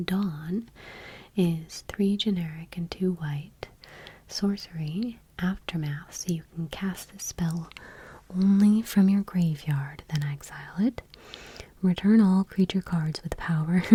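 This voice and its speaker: A woman softly reading aloud for ASMR in a breathy, whispery voice with a hushed, gentle delivery. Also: lowish voice, slight background noise. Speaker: ASMR video host.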